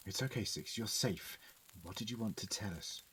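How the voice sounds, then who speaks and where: Man playing calm, caring doctor, noisy recording. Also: fairly low pitch, moderate pace, close to an everyday voice. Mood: gentle, concerned. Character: calm, caring Time Lord doctor, earnest and questioning. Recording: noisy fan audio drama recording.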